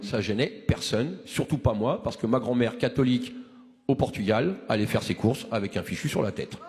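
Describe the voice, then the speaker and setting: A man's voice: mid-range voice, lively intonation, normal speed, darkish tone, long reverb tail, a little hiss or hum. A man in his forties giving an impassioned, rousing address to a movement, full of restarts and rhetorical questions. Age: 40s. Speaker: activist speaker.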